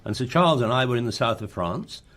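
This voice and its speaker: An inquisitive gentleman amateur sleuth in a radio mystery. He talks quickly and earnestly in a husky, lively voice with polite phrasing. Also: mid-range voice. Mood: puzzled. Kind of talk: radio mystery drama acting.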